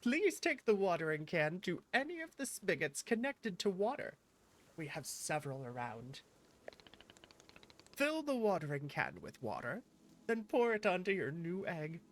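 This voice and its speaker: A woman voicing a gentle, patient garden keeper in a cozy video game, with courteous, slightly formal instructions. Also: on the low side, very animated, unhurried, slight background noise. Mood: patient, encouraging. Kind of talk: reading cozy video game dialogue aloud.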